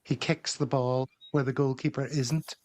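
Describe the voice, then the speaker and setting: A man's voice: medium pitch, steady tone, normal speed, darkish tone, no echo. A man hosting a casual football podcast, reading viewer comments and running through match stats. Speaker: podcast host.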